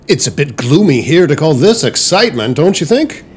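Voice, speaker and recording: A man playing an easygoing, wry Victorian gentleman and father in a period audio drama. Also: fairly high pitch, sing-song, normal speed, slight background noise. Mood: cheerful, wry.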